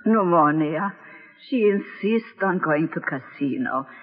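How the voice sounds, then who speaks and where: Grieving mother, woman, husky, echoing hall, muffled vintage radio drama. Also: fairly low pitch, lively intonation, takes it slowly, heavily acted. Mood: sorrowful. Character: grieving older Polish mother in mourning, gracious. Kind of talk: old-time radio drama acting. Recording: muffled vintage radio recording, reverberant, music.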